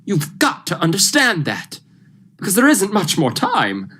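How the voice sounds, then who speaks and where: Young actor doing a suspicious doctor guy in old radio drama, pushy and urgent, pitch swoops all over. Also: wildly swooping pitch, a little slow, pushed and bright, breathy edge, exaggerated voice. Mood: urgent, persuading. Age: young adult. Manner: melodramatic, emphatic, insistent. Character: Doctor Precinct, urgent, commanding, suspicious male doctor. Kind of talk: 1940s radio thriller acting.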